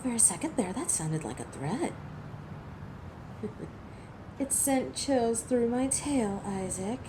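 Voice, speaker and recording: A woman's voice: on the high side, expressive, takes it slowly, background noise. A woman playing a defiant, hot-tempered, sarcastic female werewolf who protects her turf in an audio drama. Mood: defiant, menacing. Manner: sardonic, taunting. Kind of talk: dramatic audio roleplay acting.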